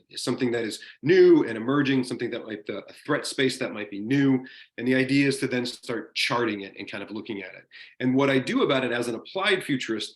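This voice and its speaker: Man, seminar speaker, middle pitch, enthusiastic, restarts, echoey video call. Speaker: guest speaker in a university seminar. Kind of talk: informal lecture over a video call.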